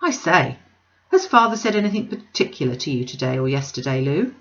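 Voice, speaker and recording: Woman as breezy, coaxing young gentleman, muffled sound. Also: deep voice, very animated, fairly quick, voice pushed down, exaggerated voice. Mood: breezy, probing. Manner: breezy, slangy, cajoling. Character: Tom, selfish, coaxing young gentleman, wheedling his sister.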